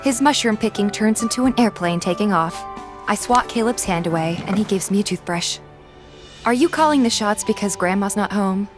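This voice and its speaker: A woman's voice: mid-range voice, lively intonation, normal speed, over music, close to an everyday voice. An actress playing a sulky but fond young woman, narrating in the first person and acting out her grumbling lines. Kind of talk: first-person story narration with acted dialogue.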